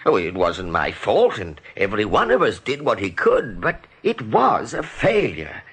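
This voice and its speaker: An actor playing a weary, dignified older rabbit officer in a radio play, formal and earnest, with some stammering. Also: male voice, lowish voice, huge pitch swings, a little slow, a bit bright, slightly rough, heavily acted. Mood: flustered, weary.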